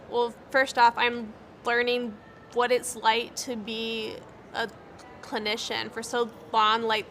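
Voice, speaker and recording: A woman's voice: fairly high pitch, sharp tone, a little hiss or hum. A young American woman who stutters, with repeated words and cut-off sounds, giving earnest, encouraging interview answers. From United States. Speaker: interviewee, speech-language pathologist.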